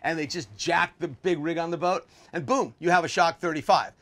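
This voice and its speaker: A man's voice: fairly high pitch, moderate pace, fairly bright tone, a little hiss or hum. A man in his sixties giving a casual, offhand, opinionated tour as a video host, recorded inside a small boat cabin.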